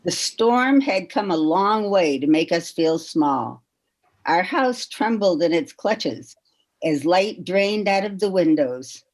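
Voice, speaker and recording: A woman reading a poem aloud with measured, deliberate phrasing. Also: fairly low pitch, lively intonation. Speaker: poetry reader.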